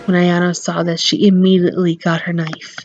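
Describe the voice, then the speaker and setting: A woman's voice: fairly low pitch, little pitch movement, fairly quick, a little mellow, dull audio. Woman narrating a story over music. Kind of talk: scripted dramatic story narration. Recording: music under the voice.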